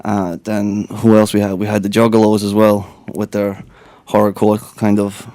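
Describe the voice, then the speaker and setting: A man's voice: on the low side, completely flat delivery, moderate pace, dark tone, no room sound. A young man from Latvia, a guest rapper chatting in a radio studio, rambling and laid-back with lots of fillers. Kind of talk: casual interview chat.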